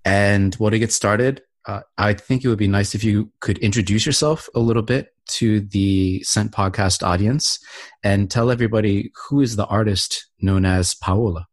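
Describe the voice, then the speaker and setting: A man's voice: on the low side, moderate pace. A man hosting a podcast, introducing and interviewing a guest in an upbeat, friendly way. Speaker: podcast host.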